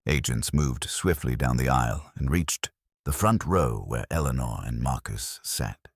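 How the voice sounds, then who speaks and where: A man's voice: very deep voice, animated, unhurried, husky, no room sound, clean studio sound. A man reading a scripted dramatic story as narrator, in a solemn, formal way.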